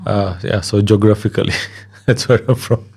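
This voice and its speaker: Guest: relaxed man from Kenya, 30s, lowish voice, easygoing pauses. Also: creaky, breathy edge. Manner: relaxed, hesitant with many fillers, laughs easily. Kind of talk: casual interview conversation.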